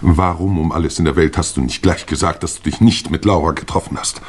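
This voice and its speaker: An actor playing an older, protective English lord and uncle in a 1950s German radio play, speaking formally and indignantly. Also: male voice, very deep voice, brisk, slightly rough. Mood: grave, discreet. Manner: formal, indignant, ornate phrasing.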